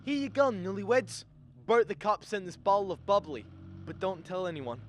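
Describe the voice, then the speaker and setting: Teenage male actor as small-town cab driver, colloquial, high voice, radio drama, noisy street behind. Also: very animated, normal speed, a bit bright. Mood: chummy. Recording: noisy street ambience with crowd, traffic.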